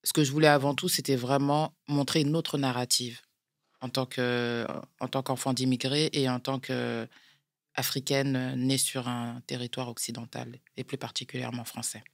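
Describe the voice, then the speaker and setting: A woman with a French accent, a brand founder answering interview questions in a measured way, pausing often to find her words. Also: rumbling low, little pitch movement, dry recording, studio quality. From France. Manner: measured, explains step by step with frequent hesitations. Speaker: guest, clothing brand founder. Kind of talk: interview conversation.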